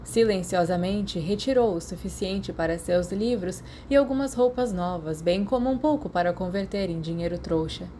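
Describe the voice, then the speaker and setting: A woman's voice: fairly low pitch, normal speed, hardly any pauses, dark tone. A woman with a Brazilian accent reading a story as scripted audiobook narration. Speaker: podfic narrator. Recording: clear background noise.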